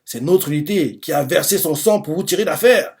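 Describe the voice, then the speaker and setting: A man's voice: on the high side, animated, fairly quick, creaky, voice pushed up. A man voicing an angry warrior commander from a manga, bluntly scolding ungrateful soldiers in an indignant speech.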